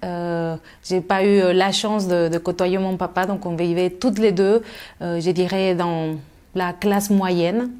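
A woman's voice: lowish voice, steady tone, measured pace, darkish tone, clean tone. A middle-aged woman from Mexico, answering interview questions thoughtfully with many fillers and restarts. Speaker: guest.

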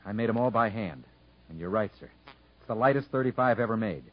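A man acting a quiet, proud, plainspoken convict inventor who is polite but determined, in a 1950s radio drama. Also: fairly low pitch, expressive, brisk. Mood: earnest, determined. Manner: plainspoken, understated, earnest. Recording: muffled 1950s radio broadcast.

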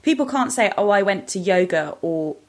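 A woman's voice: mid-range voice, expressive, moderate pace. A woman co-hosting a podcast, explaining her opinions in a reflective, articulate, conversational way. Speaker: podcast co-host. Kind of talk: casual opinion chat.

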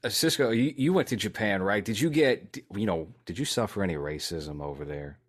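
A man's voice: lowish voice, a little fast. A man chatting casually, asking questions and adding wry short remarks. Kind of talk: casual chat.

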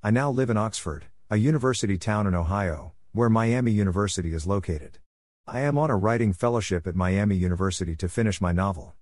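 A man's voice: on the low side, fast talker, a little mellow, dry recording. A man narrating a scripted personal opinion column as a reader.

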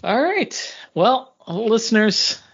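Lively, animated male podcast co-host, slightly muffled. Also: sits high, takes it slowly, vocal fry, husky. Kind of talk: casual comic book review chat. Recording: dull lo-fi podcast audio.

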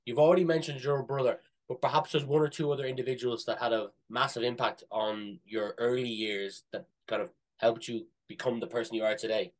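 A man's voice: medium pitch, rough recording. A man hosting a business podcast, asking friendly, loosely phrased questions. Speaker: podcast host. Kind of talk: interview questions.

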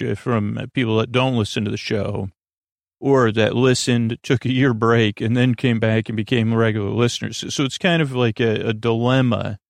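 A man hosting a bedtime podcast in a rambling monologue, halting and stammering with frequent false starts and self-corrections, in a gravelly voice. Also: fairly low pitch, no room sound, clean studio sound. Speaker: podcast host.

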